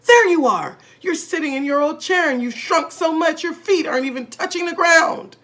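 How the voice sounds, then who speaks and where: A woman's voice: high-pitched, expressive, normal speed, a bit bright, pitched above the performer's own voice. A woman voicing a gleefully cruel, taunting old husband from a children's storybook, bullying and mock-serious, drawing out key words. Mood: gloating, alarming. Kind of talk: children's storybook read-aloud.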